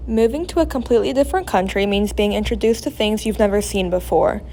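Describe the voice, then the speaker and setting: A teenage girl narrating a school news feature in an even, scripted delivery. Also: medium pitch, quick pace, a little hiss or hum. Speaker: student reporter.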